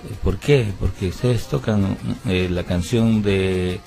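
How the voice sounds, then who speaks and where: A low-voiced man with a Peruvian Spanish accent, speaking as a musician guest on a radio interview. He talks earnestly and at length, full of hesitations and restarts. Faint music plays behind him. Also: takes it slowly, vocal fry, mellow. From Peru. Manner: earnest, many fillers and restarts. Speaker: musician, band founder.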